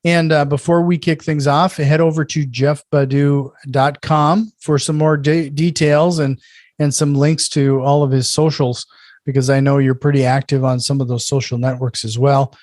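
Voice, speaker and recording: A man's voice: on the high side, flat delivery, moderate pace, darkish tone, no echo, studio quality. A man hosting an interview podcast, friendly and casual, often stumbling and restarting his sentences. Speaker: podcast host.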